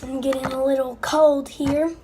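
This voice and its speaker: A woman's voice: sits high, flat delivery, moderate pace. A young girl with a stuffed-up nose, chatting casually and a bit apologetically to viewers as she crafts at home, rambling as she goes. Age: child. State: stuffed-up and under the weather. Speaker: slime video maker. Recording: noisy amateur recording with clicks.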